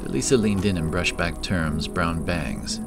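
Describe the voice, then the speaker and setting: Low deep guy narrator reading a fantasy tale, loud music n effects behind him. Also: unhurried. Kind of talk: scripted fantasy story narration.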